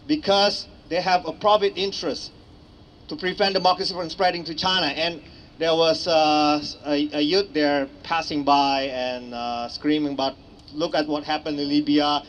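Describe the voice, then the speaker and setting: A man's voice: a little high, steady tone, normal speed, fairly bright tone. A man with an Indonesian accent giving an earnest, emphatic political speech as an activist, repeating phrases for effect. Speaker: activist speaker at a rally. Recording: clearly noisy ambient public recording.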